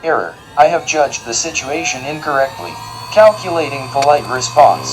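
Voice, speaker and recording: An actor playing a polite, literal-minded robot diner worker in audio fiction, speaking formally and precisely with deadpan earnestness. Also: medium pitch, moderate pace, over music, lightly acted, rough recording. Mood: earnest, deadpan.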